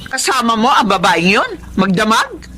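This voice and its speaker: Anxious Filipino mother, wildly swooping pitch. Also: wildly swooping pitch, normal speed, pushed and bright, heavily acted. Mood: upset, scolding. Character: worried, suspicious Filipino mother of grown children. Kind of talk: radio drama acting.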